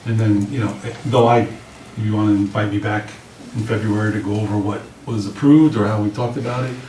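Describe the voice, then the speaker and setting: A man with a slightly raspy voice giving an informal, plain-spoken briefing to a committee as a public works director. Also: on the low side, steady tone, brisk, dark tone. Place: indoor meeting room. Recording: roomy, distant mics with clear background noise.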